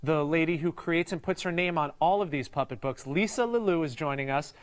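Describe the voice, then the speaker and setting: A man works as co-host on a live shopping broadcast. He delivers a sales pitch straight to the viewers and chats with his co-presenter and callers. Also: brisk, smooth.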